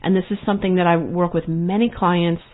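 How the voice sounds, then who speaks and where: A woman's voice: fairly low pitch, fairly even intonation, brisk. A woman hosting her own podcast, talking earnestly and reflectively about life paths and now and then acting out the thoughts of her clients. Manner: earnest, reflective, with quoted inner voices. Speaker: podcast host and coach. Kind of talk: solo podcast monologue. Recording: phone-like narrow sound.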